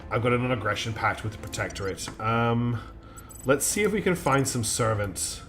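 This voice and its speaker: A man's voice: mid-range voice, animated, moderate pace, a bit bright, faint music behind. A man streaming a strategy game live. He thinks aloud in a chatty way, with many ums and restarts, and his nose is slightly blocked.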